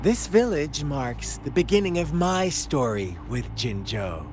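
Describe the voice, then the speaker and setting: A man's voice: on the high side, sing-song, measured pace, darkish tone, a little hiss or hum. An actor voicing a sly, mocking young male villain in a game cutscene, speaking in a theatrical, teasing, fable-telling manner. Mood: storytelling. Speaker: game antagonist. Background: game soundtrack music.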